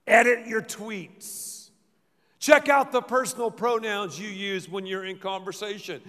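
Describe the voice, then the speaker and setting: A man preaching a sermon as pastor, playful and dramatic, often dropping to a low murmur. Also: fairly even intonation, unhurried, a bit bright. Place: large church hall. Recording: reverberant church hall.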